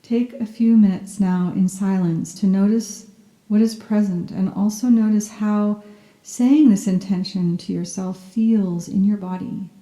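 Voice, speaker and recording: A soft-spoken woman guiding a yoga nidra meditation in a gentle, soothing and unhurried manner. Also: medium pitch, fairly even intonation, roomy sound, rough recording. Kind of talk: guided meditation, scripted narration.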